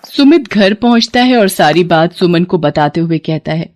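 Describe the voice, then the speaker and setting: A woman's voice: on the low side, expressive, moderate pace, a little mellow. A woman giving scripted narration of a moral story.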